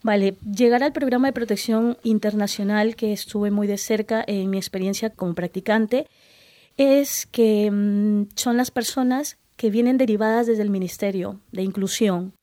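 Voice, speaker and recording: Warm earnest woman on a panel. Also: medium pitch, moderate pace, no echo. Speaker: foundation worker, former intern. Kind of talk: panel conversation.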